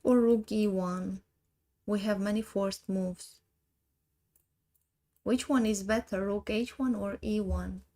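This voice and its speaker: A woman in her thirties with an Armenian accent, thinking aloud slowly in halting English as she works through chess puzzles on a live stream. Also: medium pitch, steady tone, warm tone. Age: 30s.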